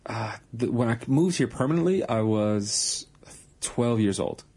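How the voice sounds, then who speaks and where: A man's voice: mid-range voice, animated, unhurried, darkish tone, husky. A young man, a guest on a radio interview, talking earnestly about organising basketball teams, often restarting and correcting himself. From born in Iran, raised across the Pacific and Europe.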